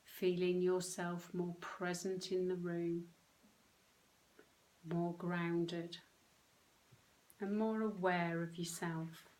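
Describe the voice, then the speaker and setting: A woman leading a guided meditation, speaking gently and unhurriedly in short phrases with long pauses. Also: fairly low pitch, little pitch movement, rough recording. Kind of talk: guided meditation, soothing instruction.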